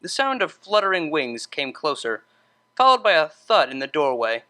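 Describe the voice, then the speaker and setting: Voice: mid-range voice, sing-song, moderate pace, sharp tone. A narrator reading scripted fan fiction as an audiobook storyteller.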